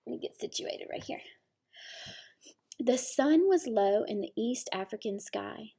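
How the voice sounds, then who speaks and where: Woman, higher-pitched, teacher's read-aloud, simple explanations, speaking to small children. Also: expressive, measured pace, vocal fry, warm tone, a little hiss or hum. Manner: explanatory, addressing young children.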